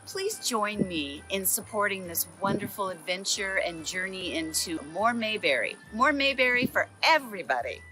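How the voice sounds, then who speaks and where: A woman's voice: mid-range voice, expressive, normal speed, few pauses, bright, forceful tone, faint music behind, rough recording. A woman making a scripted promotional appeal as a supporter. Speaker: campaign supporter.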